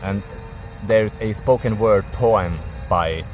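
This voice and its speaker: A man casually reviewing albums in a halting way, searching for words as he goes. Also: fairly low pitch, faint music behind. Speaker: music reviewer.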